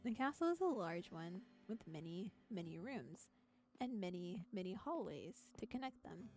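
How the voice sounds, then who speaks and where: A woman's voice: on the low side, sing-song, moderate pace, darkish tone. A woman streaming a visual novel read-aloud, narrating the text and breaking off into chatty, giggly, playful asides. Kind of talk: narrated visual novel reading with casual live commentary. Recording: music behind the voice. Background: background music.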